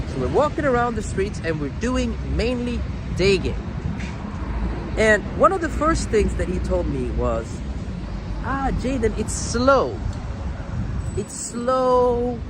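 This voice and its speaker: A man from Sweden talking casually and emphatically as a vlog host, often repeating phrases, outdoors on a street. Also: sits high. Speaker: dating coach and vlog host. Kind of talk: casual vlog talk addressed to viewers. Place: outdoors walking on city streets. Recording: noisy outdoor city street with traffic.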